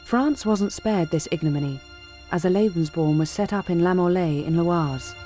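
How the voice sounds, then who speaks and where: A woman narrating a documentary script in a measured, formal way. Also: fairly low pitch, over music.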